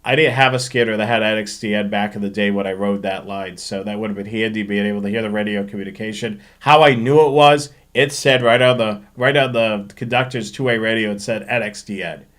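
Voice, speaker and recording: A man's voice: on the low side, steady tone, a little fast, hardly any pauses, fairly bright tone. A man hosting a live show, chatting informally and explaining things as he answers questions, thinking aloud as he goes. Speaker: host of a railfanning live show. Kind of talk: casual live-stream question and answer chat.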